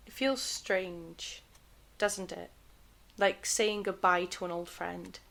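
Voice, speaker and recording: A woman's voice: lowish voice, steady tone, slow pace, vocal fry, fairly bright tone, heavily acted. A woman playing a wistful, uncertain 1930s television worker in an audio drama, speaking pensively.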